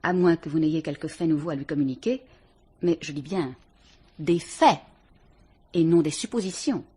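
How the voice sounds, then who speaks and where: A haughty suspected murderess, husky voice, icy and sarcastic, old radio play. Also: female voice, sits low, normal speed, warm tone. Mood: defiant. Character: cool, defiant woman suspected of murder. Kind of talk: vintage radio drama acting.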